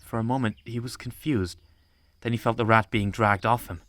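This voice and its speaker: A man's voice: medium pitch, fairly even intonation, moderate pace, a bit bright. A man reading scripted audiobook narration of an animal adventure story.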